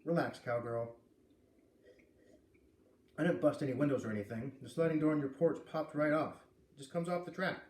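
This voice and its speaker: A tough, laid-back teenage girl from a storybook , put on by a man reading aloud. The delivery is offhand and slangy with a mellow tone, in a roomy recording. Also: a little high, normal speed, pauses often, close to an everyday voice. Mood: cool, offhand. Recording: roomy, distant mic with light noise.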